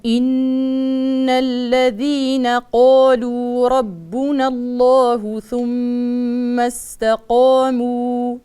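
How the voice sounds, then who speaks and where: Woman reciting scripture in a smooth, clear voice. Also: on the high side, flat delivery, mellow, faint music behind. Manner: measured, melodic chanting. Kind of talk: Quran recitation.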